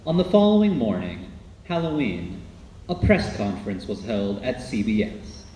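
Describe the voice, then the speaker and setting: A man's voice: lowish voice, big pitch swings, normal speed. A man narrating a scripted radio documentary in a dramatic, announcer-like way. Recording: echoey, faint background noise.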